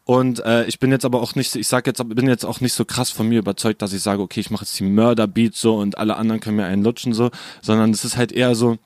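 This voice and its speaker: Young guy rattling on fast in slang about making beats, dry close sound, says digga constantly. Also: fairly low pitch, steady tone, a little mellow, no room sound. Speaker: rapper and producer. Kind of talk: casual interview chat.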